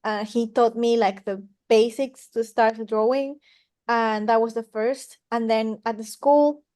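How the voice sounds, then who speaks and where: A woman's voice: a little high, steady tone, measured pace, a little mellow, smooth. A woman from Peru speaking conversationally as an interview guest. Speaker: podcast guest, singer and harpist.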